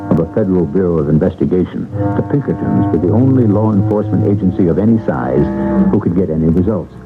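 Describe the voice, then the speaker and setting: A man's voice: low-pitched, animated, quick pace. A man hosting a radio drama, delivering dramatic scripted narration between acts. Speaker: host and narrator. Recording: narrowband old radio broadcast, loud music.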